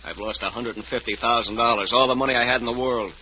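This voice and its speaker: Menacing male investor who lost everything, played by an actor, very sharp voice, noisy vintage radio sound. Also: medium pitch, fairly even intonation, fast, lightly acted. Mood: bitter, accusing. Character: bitter, ruined male investor, quietly menacing. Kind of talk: 1940s radio acting. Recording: dull, noisy 1940s radio recording.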